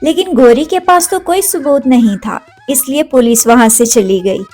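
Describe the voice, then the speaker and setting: Lady storyteller for a kids cartoon, high voice, bit of music under it. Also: moderate pace, a little mellow. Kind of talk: scripted children's cartoon story narration. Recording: faint music behind.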